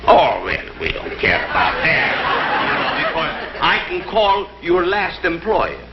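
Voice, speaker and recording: An actor playing an excitable, flirtatious Italian husband in a vintage TV comedy sketch, stammering in broken English with a mock Italian accent. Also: male voice, a little high, measured pace, crowd noise, heavily acted. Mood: flirtatious, flustered. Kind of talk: 1960s TV comedy sketch acting. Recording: muffled old TV audio, noisy studio audience.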